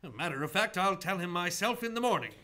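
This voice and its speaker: A man's voice: high voice, lively intonation, fast talker, bright, forceful tone. A man acting in a radio play as a hearty, commanding, blustery department store owner. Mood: expansive, pleased. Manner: blustery, emphatic, expansive.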